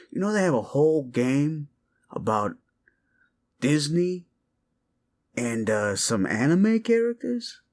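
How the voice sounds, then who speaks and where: A man acts out a folksy older male detective in an intimate audio roleplay. He speaks slowly, with a pitch that rises and dips freely. He rambles and seems absent-minded, yet stays politely, slyly probing. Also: heavily acted. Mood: folksy, amused. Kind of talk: roleplay ASMR audio drama.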